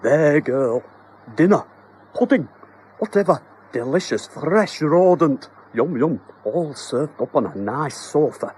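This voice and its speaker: A man's voice: on the high side, animated, slow, a little mellow, slight background noise, voice pushed up. A man voicing a flustered, pompous husband trying to sound stern.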